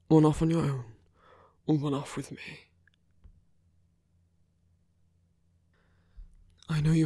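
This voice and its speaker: Man acting a husky, brooding villain in love. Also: a little high, a little mellow, dry recording. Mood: earnest pleading. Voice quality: breathy, husky, often dropping to a whisper. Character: brooding, protective male villain in love with enemy. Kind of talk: ASMR roleplay audio drama, intimate monologue.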